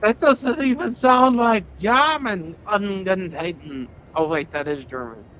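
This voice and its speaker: Slow-talking, rambling, joking vlogger man. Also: high voice, expressive, bright, forceful tone, a little hiss or hum. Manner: joking, rambling, profane, restarts and stumbles. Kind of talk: rambling comedic monologue. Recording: slightly dull sound with faint music.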